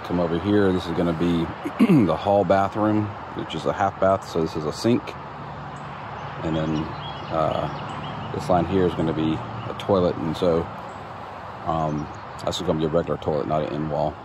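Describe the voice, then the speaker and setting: Deep-voiced man touring a building site. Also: moderate pace. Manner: relaxed, plain-spoken, many ums and restarts. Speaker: homeowner showing his build. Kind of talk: casual walkthrough narration. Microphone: phone camera held while walking. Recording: outdoor phone audio with clear background noise.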